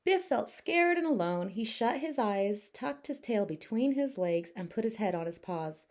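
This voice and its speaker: Animated, higher-voiced woman reading a storybook. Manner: expressive, deliberate phrasing for children. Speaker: teacher reading aloud. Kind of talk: children's storybook narration.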